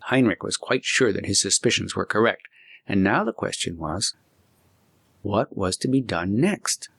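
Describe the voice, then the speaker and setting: A man narrates a storybook with deliberate expression. His voice sits on the low side and carries a clear gravelly creak. The delivery is that of a scripted audiobook reading. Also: animated, a bit bright, no echo, studio quality.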